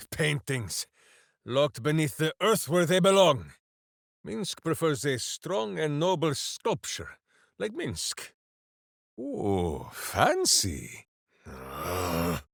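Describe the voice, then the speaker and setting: A boisterous, simple-minded video game ranger with a hero's earnest heart. He declaims theatrically at a slow, weighty pace, and his pitch leaps and plunges dramatically. The male voice is captured very dry and close, with no room around it. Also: dry recording, heavily acted. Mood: musing. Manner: grandiose third-person declamation, earnest and theatrical. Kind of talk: video game character voice lines.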